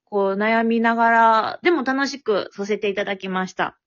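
Young woman, hesitant and high-pitched. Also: normal speed. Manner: hesitant, searching for words, polite. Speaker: amateur voice actor, guest. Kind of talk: casual chat. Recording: dull lo-fi audio.

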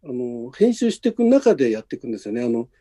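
A man's voice: medium pitch, big pitch swings, quick pace, warm tone. A man speaking casually as a podcast guest, agreeable and inclined to explain things. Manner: agreeable, explanatory, often affirming. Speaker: podcast guest, radio drama audio editor. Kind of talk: casual chat.

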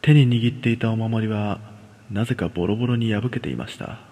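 A man's voice: fairly low pitch, a little fast, dark tone. A man telling a scripted ghost story in a dramatic way. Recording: long cavernous reverb.

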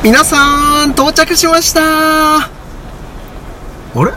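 A man's voice: very high voice, drawn-out words, distant mic, noisy background, heavily acted. A man playing a showy bus tour guide announcing to a group in a radio drama.

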